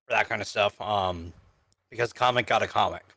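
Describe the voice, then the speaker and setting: A man co-hosting a casual film review, explaining things in a halting, conversational way. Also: lowish voice, gravelly, sharp tone, no room sound. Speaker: podcast co-host reviewer.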